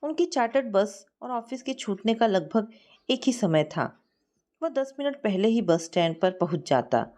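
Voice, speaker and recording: Woman narrator for sentimental fiction: mid-range voice, expressive delivery with plenty of melodic movement. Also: mid-range voice, normal speed. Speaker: storyteller. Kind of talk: scripted story narration.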